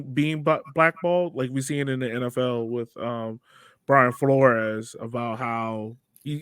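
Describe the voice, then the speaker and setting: A man's voice: mid-range voice, a bit bright, smooth, no room sound. A man hosting a podcast in a casual, conversational way. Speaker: podcast host.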